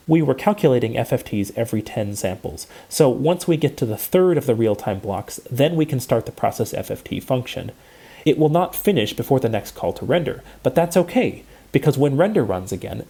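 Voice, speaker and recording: A man giving a scripted technical lecture, explaining in a measured and precise way. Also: mid-range voice, creaky, dark tone, breathy edge.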